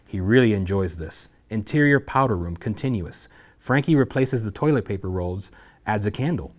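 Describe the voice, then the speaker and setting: A man's voice: on the low side, expressive, normal speed. A man reading scene headings and action lines aloud as the narrator at a screenplay table read.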